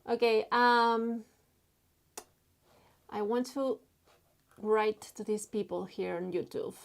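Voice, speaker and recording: Slow-talking woman from Spain. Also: fairly high pitch, fairly even intonation, vocal fry. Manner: halting, many fillers, reads chat messages aloud. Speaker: artist live streaming while drawing. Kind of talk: casual live stream chat.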